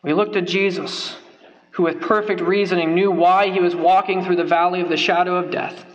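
A young man with a fairly high voice delivers a sermon from a wooden pulpit, earnest and emphatic, hammering points home through repetition. The pulpit mic recording sounds a little dull and lo-fi. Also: steady tone, moderate pace. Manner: earnest, emphatic, repeats phrases for stress. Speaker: preacher. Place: church sanctuary, at a wooden pulpit. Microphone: pulpit microphone.

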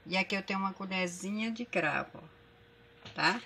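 A woman's voice: medium pitch, moderate pace, vocal fry, sharp tone. Woman, Brazilian Portuguese accent, chatty cooking host, home kitchen, phone camera, faint noise behind. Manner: chatty, addresses viewers as gente.

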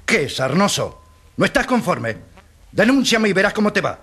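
Callous boss, radio drama: husky, scornful, animated and fairly high-pitched. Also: male voice, normal speed, exaggerated voice. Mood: scornful, threatening. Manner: scornful, browbeating, insulting. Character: callous, bullying male boss underpaying a poor labourer. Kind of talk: Spanish-language radio drama acting.

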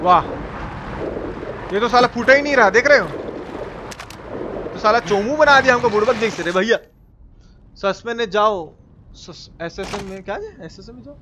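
High-voiced Bihari man livestreaming a game. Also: moderate pace, long pauses, background noise. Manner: colloquial, playful exclaiming. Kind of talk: casual live gameplay commentary, chatting with viewers. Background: video game sound.

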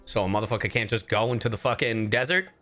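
A man's voice: fairly low pitch, fast. A man chatting casually and swearing freely as he live-streams a game. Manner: animated, sweary. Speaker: streamer and voice actor. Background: video game music.

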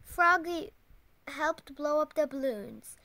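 A woman's voice: sits high, takes it slowly, pushed and bright. A young girl reading a picture book aloud expressively, stumbling over words now and then. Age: child. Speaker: storytime host reading aloud to teddy bears.

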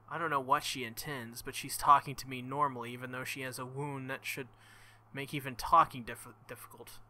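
Young male let's-play host; bright, slightly high, excitable and crude. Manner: excitable, swings to loud outbursts; profane, joking. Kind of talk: visual novel playthrough with commentary.

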